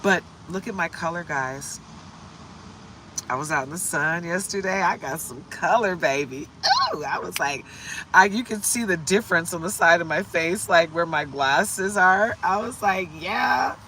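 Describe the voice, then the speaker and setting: A hoarse woman from the United States doing a casual, upbeat tarot livestream and greeting viewers by name. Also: on the low side, animated, unhurried, pushed and bright, slight background noise, rough recording. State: hoarse from shouting. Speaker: tarot reader.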